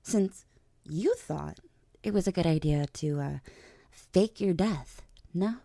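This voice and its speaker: Woman acting a languid, creaky vampire queen. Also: fairly low pitch, slow, warm tone, no room sound, full character voice. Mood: matter-of-fact, sly. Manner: languid, condescending, sardonic. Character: haughty, powerful vampire queen, teasing and world-weary. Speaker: voice actor in an audio roleplay. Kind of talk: ASMR audio drama acting.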